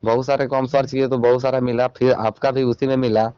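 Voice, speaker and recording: A man's voice: medium pitch, little pitch movement, fairly quick, smooth, dry recording. A man with a Bihari accent speaking as a channel reporter on a recorded phone call, questioning and admonishing in an insistent way. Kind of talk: confrontational phone interview.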